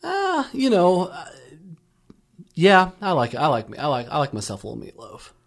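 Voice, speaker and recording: A man's voice: fairly high pitch, huge pitch swings, moderate pace, creaky. A man chatting casually, jovial and enthusiastic. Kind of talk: casual chat.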